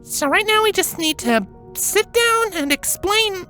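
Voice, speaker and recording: Woman playing an anxious, high-voiced young pony mare. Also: lively intonation, a little slow, bright, forceful tone, faint music behind, exaggerated voice. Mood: calm reassurance. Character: anxious, reassuring young female winged pony. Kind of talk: dramatised storybook reading.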